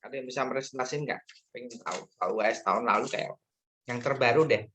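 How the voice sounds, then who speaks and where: A man's voice: medium pitch, fast, fairly bright tone, slight background noise. Informal male lecturer, chatty and questioning. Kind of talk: casual class discussion on an online lesson.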